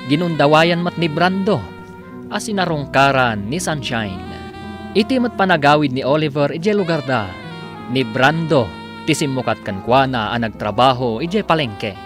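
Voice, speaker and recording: A man narrating a radio drama as announcer, with dramatic scripted delivery. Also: medium pitch. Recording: music bed under the voice. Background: prominent music.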